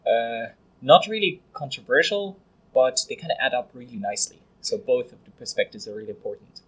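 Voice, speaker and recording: A man's voice: mid-range voice, sing-song, a little fast, a bit bright, slightly rough, rough recording. A young man from Switzerland, a podcast guest explaining things casually in conversation. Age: young adult. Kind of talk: casual explanatory interview talk.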